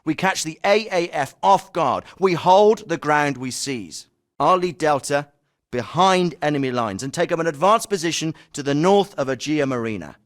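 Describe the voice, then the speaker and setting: An actor playing a military commander giving a measured, deliberate battle briefing in a video game. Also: male voice, on the high side, lively intonation, close to an everyday voice. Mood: resolute. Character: confident male military commander briefing troops.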